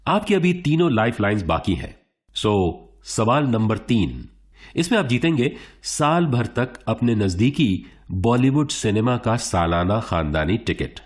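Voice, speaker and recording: A man playing a suave quiz show host in a radio play, formal and theatrical, with dramatic pauses. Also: medium pitch, very animated. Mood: showy, polite.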